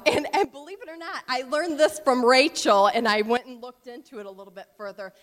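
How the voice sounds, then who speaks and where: A woman's voice: sits high, fairly bright tone. An American woman giving an informal educational talk at a church service, chatty and rambling with many fillers and asides. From United States. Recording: long reverb of a large hall.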